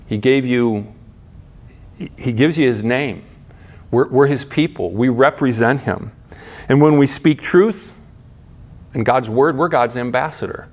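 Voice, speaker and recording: A middle-aged man preaching in a relaxed, conversational manner. He poses rhetorical questions to his listeners and often restarts his sentences, moving between soft, low asides and brighter, raised points. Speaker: pastor. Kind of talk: sermon.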